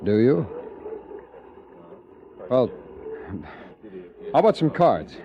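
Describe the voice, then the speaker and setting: Stammering, troubled western drinker, noisy recording. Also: male voice, medium pitch, sing-song, drawn-out words, lo-fi sound, exaggerated voice. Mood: uneasy, sociable. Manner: hesitant, stammering, repeats himself. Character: troubled, hard-drinking western man, apologetic then edgy. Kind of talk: old-time radio western acting. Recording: noisy old radio recording.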